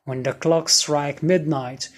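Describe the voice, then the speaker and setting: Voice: mid-range voice, steady tone, slow. A storyteller reading a scripted bedtime story for children.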